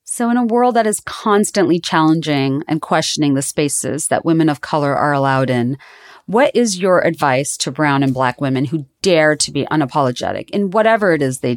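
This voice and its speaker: A woman from Bangladesh hosting a podcast, asking questions and reading a measured, earnest outro. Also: deep voice. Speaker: podcast host. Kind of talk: scripted podcast reflection and outro.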